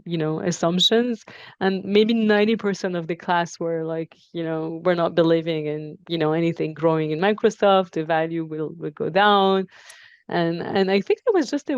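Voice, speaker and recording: A woman's voice: lowish voice, smooth, no room sound, lo-fi sound. A woman in her forties from Iran and France, answering interview questions conversationally and reflectively, rephrasing as she explains. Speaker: interview guest, technology professional.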